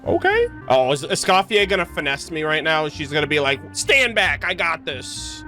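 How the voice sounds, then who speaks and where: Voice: mid-range voice, sing-song, normal speed, pushed and bright. A streamer giving casual, playful live commentary on a video game in slangy, sweary language. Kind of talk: casual livestream commentary with joking. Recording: faint game music behind the voice. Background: video game music.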